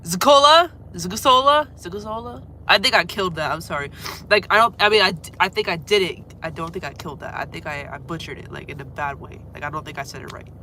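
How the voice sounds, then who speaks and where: A young woman from Florida with a deep voice and a cold, chatting rambly with viewers on a phone livestream at home. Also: brisk, slight background noise, rough recording. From Florida, USA. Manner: rambling, lots of fillers, trails off quietly. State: has a cold, congested and coughing. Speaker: musician livestreaming. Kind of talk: casual livestream chat with viewers. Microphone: phone held close.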